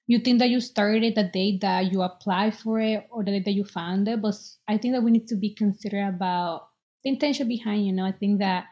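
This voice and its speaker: A young woman in her twenties from El Salvador, speaking as an interview guest in an earnest, reflective way and pausing often with "um". Her voice sits at a middle pitch, and the recording sounds slightly dull. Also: a little mellow. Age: 20s. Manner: hesitant, many "um"s, earnest and reflective. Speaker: guest, business owner. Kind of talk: casual interview conversation.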